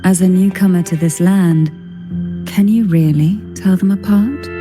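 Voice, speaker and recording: A woman's voice: fairly low pitch, fairly even intonation, measured pace, warm tone, soft background music, natural voice. A woman voicing an elegant, enigmatic noblewoman in a video game, speaking in a formal, poised and languid manner. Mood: grave, ominous. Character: elegant, enigmatic noblewoman, head of a powerful family. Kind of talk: video game story dialogue.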